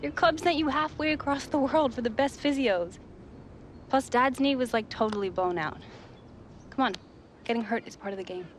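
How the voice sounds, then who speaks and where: High-voiced feisty teenage girl footballer, played by an actress. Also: normal speed, slight background noise, close to an everyday voice. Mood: upbeat, coaxing. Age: young adult. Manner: blunt, challenging, impassioned. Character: determined, feisty teenage girl footballer, blunt younger sister. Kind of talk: dramatic game cutscene dialogue. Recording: clear music behind the voice.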